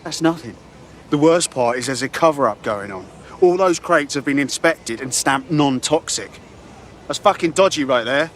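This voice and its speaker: Casting: man plays streetwise British resistance recruit, slangy, mid-pitched, faint street noise behind, game cutscene. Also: expressive, normal speed, a bit bright, lightly acted. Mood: bitter, wary. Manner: colloquial, slangy. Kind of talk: video game cutscene acting.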